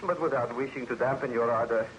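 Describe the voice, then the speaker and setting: An actor playing a cautious, disapproving gentleman friend with formal, earnest diction in an old-time radio drama. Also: medium pitch, fast, lo-fi sound, natural voice. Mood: reluctant. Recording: slightly noisy vintage radio recording.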